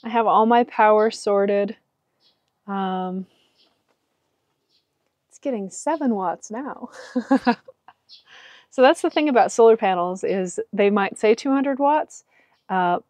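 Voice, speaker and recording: A woman's voice: medium pitch, darkish tone, no echo. Chatty woman explaining a car build, dry sound. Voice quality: chatty, laughs often. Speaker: vlogger. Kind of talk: casual DIY narration. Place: inside an SUV and a carport.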